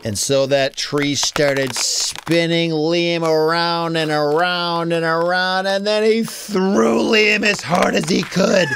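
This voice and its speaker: A woman narrating a children's story in an expressive, conversational, casual way. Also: mid-range voice.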